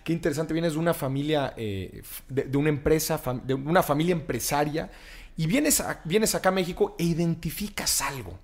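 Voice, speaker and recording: A woman hosting an interview, lively and quick to affirm her guest. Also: sits low. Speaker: interview host. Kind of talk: conversational interview.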